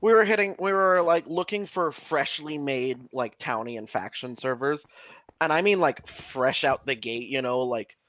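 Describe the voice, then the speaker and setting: A man's voice: mid-range voice, normal speed, fairly bright tone, dull audio. A man in his twenties chatting casually while playing a game, often restarting sentences and filling with "like". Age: 20s. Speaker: streamer.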